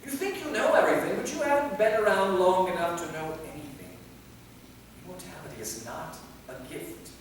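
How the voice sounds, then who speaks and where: A man's voice: sits high, expressive, fairly quick. A man playing an anguished, weary and bitter immortal vampire in a staged reading. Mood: despairing. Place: theater, recorded from the audience distance. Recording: echoey hall from far off, faint noise.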